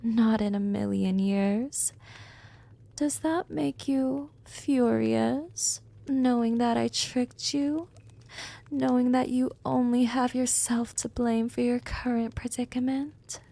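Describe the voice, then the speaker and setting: A woman playing a smug, gently dominant female vampire in an intimate ASMR roleplay, speaking softly and often slipping into whispers, teasing and coaxing. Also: fairly high pitch, steady tone, takes it slowly, full character voice. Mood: smug, seductive. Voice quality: soft, breathy, often dropping into whispers.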